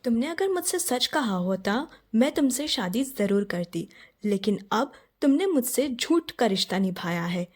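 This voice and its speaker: Lady doing a young wife in a hindi cartoon, principled n indignant, bit husky, drops english words. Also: a little high, big pitch swings, measured pace, a little mellow. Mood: reproachful. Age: young adult. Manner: earnest, confronting, switches into English. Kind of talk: cartoon moral story acting.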